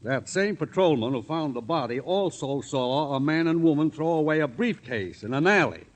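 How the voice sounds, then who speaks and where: An actor playing an anxious, earnest town official in a 1950s radio drama, speaking deliberately and nervously. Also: male voice, on the high side, expressive, lightly acted. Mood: grave, accusing. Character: anxious, earnest male town official, protesting his honesty.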